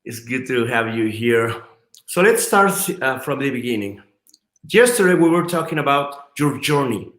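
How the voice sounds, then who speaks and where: A man's voice: medium pitch, lively intonation, moderate pace, fairly bright tone, echoey room. A man hosting an interview, asking eager, rambling questions. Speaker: interviewer host.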